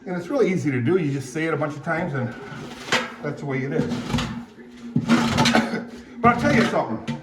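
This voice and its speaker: Older guy in his 70s, from indonesia, rambles on with lots of ums and throat clearing. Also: fairly high pitch, very animated, heavy background noise. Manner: rambling, halting, many fillers and throat clearing. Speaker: vlogger. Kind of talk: rambling casual monologue.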